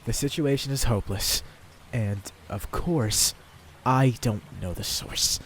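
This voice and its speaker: A man's voice: medium pitch, slow, slight background noise, close to an everyday voice. An actor playing a flippant, self-mocking young man stranded in a fantasy world, theatrically muttering to himself as in an anime dub. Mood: exasperated, muttering. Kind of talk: anime dub internal monologue.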